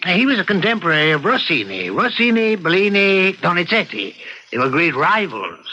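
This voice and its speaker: An actor playing a doting, pedantic seventy-year-old husband in an old-time radio drama, fussily polite and a little hesitant. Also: male voice, high-pitched, very animated, normal speed, sharp tone, heavily acted. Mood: fussy, genial. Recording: dull, faintly noisy vintage radio recording.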